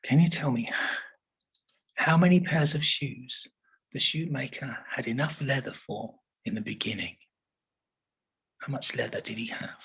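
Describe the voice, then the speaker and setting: A teacher with an English accent reading a children's story aloud with expressive delivery, then asking the listeners questions about it. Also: male voice, mid-range voice, measured pace, gravelly, long pauses, breathy edge, poor audio.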